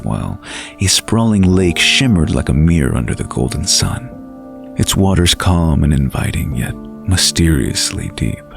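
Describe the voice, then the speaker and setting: A man narrating a scripted fantasy bedtime story in a measured, atmospheric way. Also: deep voice, vocal fry. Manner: measured, literary, atmospheric delivery. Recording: light music behind the voice.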